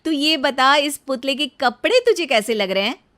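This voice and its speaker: A woman's voice: high voice, animated, moderate pace, sharp tone. A woman playing a keen, ambitious young daughter-in-law from the city in a cartoon story. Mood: keen, friendly.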